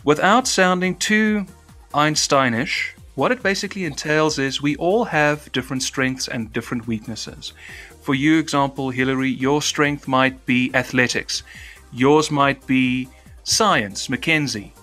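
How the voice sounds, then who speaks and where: Articulate man with bright, polished diction. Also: medium pitch, a little slow, faint music behind. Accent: British-trained South African. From South Africa. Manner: formal, careful diction, long winding sentences. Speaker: podcast host. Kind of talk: casual podcast chat.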